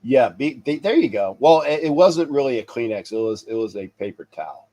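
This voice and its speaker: A man's voice: mid-range voice, lively intonation, brisk, dark tone. A man hosting a sports talk livestream, stuffy and congested, rambling casually and repeating himself as he signs off to viewers. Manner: chuckles to himself. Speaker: sports talk show host.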